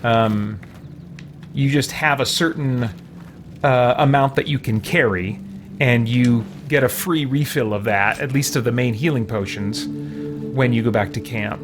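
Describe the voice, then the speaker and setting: A man hosting a game stream, chatting casually and explaining in a halting, conversational way. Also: medium pitch, music in the background. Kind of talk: casual live chat while playing.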